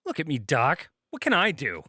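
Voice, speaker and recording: A man's voice: fairly high pitch, huge pitch swings, moderate pace, sharp tone, husky, exaggerated voice. A man playing an earnest, self-doubting hero in an audio drama, speaking in a hesitant, natural manner. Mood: frustrated, downcast. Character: troubled, earnest young male hero who doubts himself.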